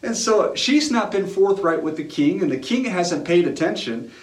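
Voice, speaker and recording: A man's voice: high voice, lively intonation, normal speed. A man preaching as a pastor in a casual, colloquial sermon style, telling stories and repeating phrases for emphasis. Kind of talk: sermon with conversational storytelling and humor. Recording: roomy, echoing sound.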